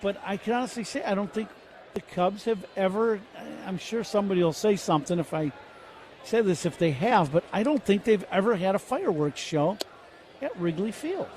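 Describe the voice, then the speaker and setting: A middle-aged man giving relaxed color commentary on baseball radio, chatty and rambling. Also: high-pitched, moderate pace. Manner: chatty, rambling, repeats "I can honestly say". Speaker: color analyst. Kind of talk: relaxed broadcast banter. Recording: noisy radio broadcast.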